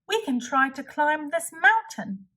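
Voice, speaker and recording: Friendly male frog for a storybook, earnest, eager to be brave, slightly high, deliberate, read by a woman. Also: expressive, sharp tone, full character voice.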